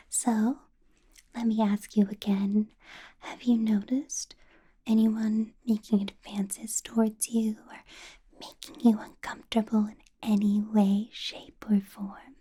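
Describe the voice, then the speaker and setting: A woman voicing a possessive ancient female vampire boss in a whispered ASMR roleplay, soft and doting with a quiet menace, close to the microphone. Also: medium pitch, slightly rough.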